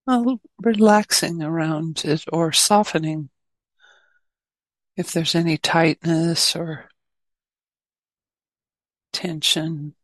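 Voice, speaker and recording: Slow, low-pitched woman guiding meditation. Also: fairly even intonation, dry recording, low-quality recording. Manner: gentle, spacious phrasing with long pauses. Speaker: meditation teacher. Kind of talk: guided meditation.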